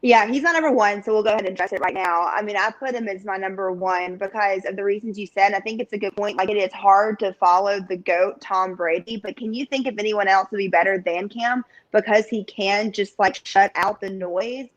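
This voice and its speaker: A woman's voice: medium pitch, fairly even intonation, a little fast, hardly any pauses, lo-fi sound. A woman in her late twenties co-hosting a casual sports talk show, eager and chatty, often saying "like". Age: late 20s.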